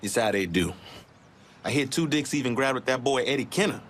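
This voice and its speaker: A man's voice: mid-range voice, expressive, brisk, a bit bright, slight background noise. A man playing a proud, streetwise young boxer in a crime game, talking in loose street slang. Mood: aggrieved, wary. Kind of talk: dramatic video game acting.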